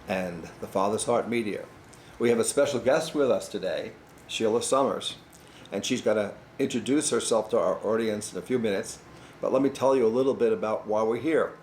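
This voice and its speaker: A man's voice: mid-range voice, normal speed, a little mellow, roomy sound, a little hiss or hum. A man hosting a faith-based podcast interview, speaking earnestly and encouragingly. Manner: earnest, encouraging, religious framing. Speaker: podcast host.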